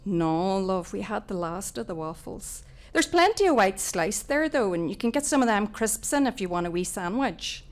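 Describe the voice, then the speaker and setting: Woman doing a brisk, homely Northern Irish mammy. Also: mid-range voice, very animated, natural voice. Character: hospitable, no-nonsense Northern Irish mother.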